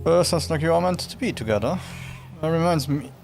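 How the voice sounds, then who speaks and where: A man's voice: a little high, lively intonation, a little fast, creaky, a little mellow. A man casually commentating while playing a video game, making joking asides to the characters. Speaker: gameplay commentator. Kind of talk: casual let's play chat. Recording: game music playing behind.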